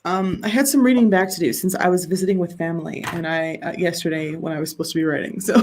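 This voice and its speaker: A woman's voice: fairly low pitch, steady tone, fast talker, darkish tone, a little hiss or hum. Chatty woman hosting a live writing stream. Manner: chatty, reads comments aloud with laughs. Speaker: livestream host of writing sprints. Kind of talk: casual chat reading viewer comments.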